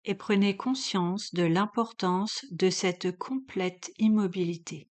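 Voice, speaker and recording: A woman with a mid-pitched voice guides a relaxation session as a yoga instructor. She speaks very slowly and calmly, leaving long pauses between measured instructions.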